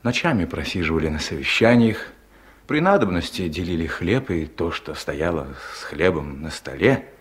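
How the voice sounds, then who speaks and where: An actor playing a weary, honest older collective-farm chairman in a radio play, husky, reflecting in a halting, solemn way. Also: sits low, animated. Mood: searching, weary. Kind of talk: theatrical radio play monologue.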